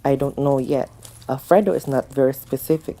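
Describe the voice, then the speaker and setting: Elderly father for radio drama, patient, very deep, mellow tone; a woman acts him out. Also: fairly quick. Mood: calm. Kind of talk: amateur radio drama acting.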